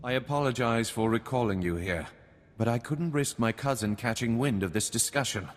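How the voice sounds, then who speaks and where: A man's voice: medium pitch, lightly acted. A man playing a formal baron in a reverberant hall. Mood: cool, businesslike. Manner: formal, measured, aristocratic. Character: shrewd, formal nobleman baron, cool and calculating. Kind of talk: video game dialogue acting. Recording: long hall reverb, music behind.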